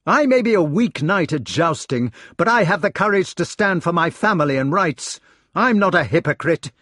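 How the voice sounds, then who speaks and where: A man's voice: on the high side, dry recording, clean studio sound, voice pushed up, exaggerated voice. A man voicing a cold, sardonic, bitterly defiant humpbacked knight in a storybook reading. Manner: icy, sardonic, nagging.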